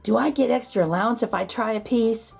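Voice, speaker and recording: A woman's voice: fairly high pitch, a little fast, creaky, darkish tone, breathy edge, soft background music, heavily acted. A woman voicing a particular, cautious little girl in a children's storybook, questioning and hesitant. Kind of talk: children's storybook read-aloud.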